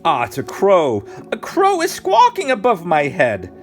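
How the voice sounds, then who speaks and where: Man acting startled picture-book neighbour, high swooping pitch, drawn-out sing-song, exaggerated exclamations. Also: huge pitch swings, soft background music, full character voice. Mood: amazed.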